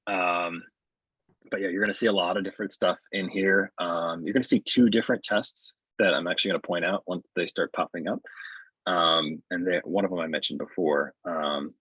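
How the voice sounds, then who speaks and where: A middle-aged man giving a casual webinar demo in a halting, conversational way, on dull video-call audio. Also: sits low, fairly even intonation, fairly bright tone, slightly rough, no room sound. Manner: halting, conversational, often checks with "right?". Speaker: software developer presenting his tool. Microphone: earbuds on a video call.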